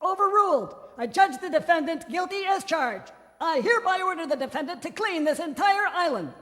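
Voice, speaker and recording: A man's voice: extremely high pitch, animated, a little fast, pushed and bright, in a large hall, heavily acted. A man voicing a stern, dismissive islander judge in a video game cutscene, curt and authoritative.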